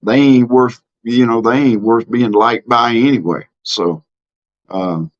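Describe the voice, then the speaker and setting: A middle-aged man from Mississippi talks in a Southern accent, easy and plainspoken, as he reads out comments on his live stream. He drawls and fills his pauses with ums and false starts. His voice sits at an ordinary pitch, and the stream audio is dull and lo-fi. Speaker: livestream host. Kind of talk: casual live-stream chat reading comments.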